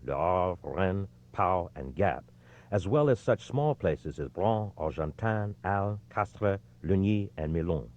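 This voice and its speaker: A man with a low-pitched voice narrates a nonfiction audiobook from a script. His intonation moves widely and expressively from phrase to phrase. The recording is somewhat dull and lo-fi. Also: a little mellow.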